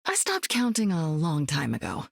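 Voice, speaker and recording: A seasoned woman superspy with a cool, wry, self-assured delivery. Her voice sits a little low and is recorded close and dry, with no room around it. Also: huge pitch swings, normal speed, creaky, slightly rough, no echo, full character voice. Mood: warm, amused. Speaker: game hero. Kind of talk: video game voice lines.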